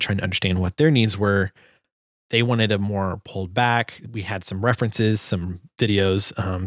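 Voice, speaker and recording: A man's voice: lowish voice, a bit bright, dry recording, studio quality. A man giving a casual, informal explainer as a sound designer, thinking aloud as he goes. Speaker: sound designer presenting own project.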